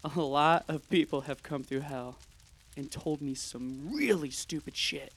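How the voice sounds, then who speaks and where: A man voicing a weary, sarcastic male ruler of hell in an animated comedy, giving a dry, deadpan tour. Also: fairly high pitch, a little slow, husky, exaggerated voice. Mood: sardonic.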